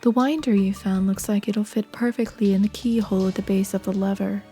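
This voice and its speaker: A woman narrating a horror adventure game in a calm, scripted second-person style. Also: mid-range voice, a little fast, natural voice. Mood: calm, measured. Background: faint music.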